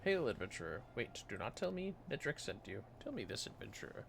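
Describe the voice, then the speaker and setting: A man's voice: medium pitch, big pitch swings, brisk, vocal fry. A man voicing a grim, world-weary quest-giver in a fantasy game, with a theatrical, ominous way of telling things. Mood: grim warning. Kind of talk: reading fantasy game dialogue aloud. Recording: loud game music behind voice.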